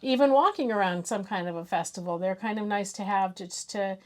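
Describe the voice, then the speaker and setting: A woman's voice: mid-range voice, fairly quick. An American woman co-hosting a casual gadget review in a chatty, conversational way.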